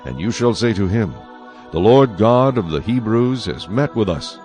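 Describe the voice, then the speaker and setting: An actor playing a solemn, commanding God in a dramatized scripture reading, with measured, authoritative delivery. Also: male voice, lowish voice, lively intonation, a little mellow, close to an everyday voice. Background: faint music.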